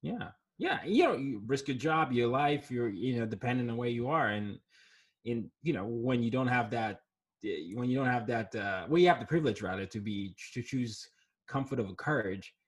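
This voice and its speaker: A man's voice: mid-range voice, lively intonation, moderate pace, breathy edge. A man from Nigeria, an author and guest, talking earnestly and reflectively in a conversation and often repeating words as he starts a thought. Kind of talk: conversational interview.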